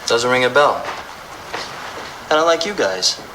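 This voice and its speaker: A man playing a smug, uncooperative drug dealer in a crime drama, with deadpan sarcasm. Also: mid-range voice, measured pace, lightly acted. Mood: dismissive. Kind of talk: naturalistic crime drama acting. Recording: noisy room ambience.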